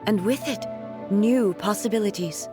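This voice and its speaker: Regal female warrior deity, played by an actress, video game; grave formal delivery, middle pitch, music underneath. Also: close to an everyday voice. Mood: solemn, resolute. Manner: formal, measured, dignified. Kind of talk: scripted video game acting.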